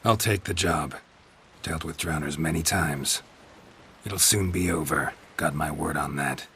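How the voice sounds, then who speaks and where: An actor playing a stoic, dry-witted monster hunter who talks in a terse deadpan in a video game. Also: male voice, very deep voice, measured pace, a little hiss or hum, full character voice. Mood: dry, businesslike.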